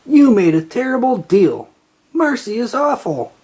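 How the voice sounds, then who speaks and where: A narrator voicing a bossy, sassy little girl in a children's storybook, sharp-tongued and emphatic. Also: mid-range voice, very animated, a little slow, slightly rough, pitched above the performer's own voice, full character voice. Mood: indignant.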